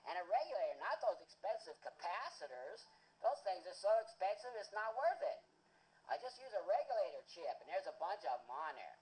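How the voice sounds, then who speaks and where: A man with an unusually high voice walks through his homemade device. He rambles, loses his thread and fills gaps with "uh." His pitch swings widely from line to line. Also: sing-song, brisk, darkish tone, breathy edge, rough recording. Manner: rambling, hesitant, many fillers and restarts. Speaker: home inventor demonstrating a device. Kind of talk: rambling hands-on demonstration.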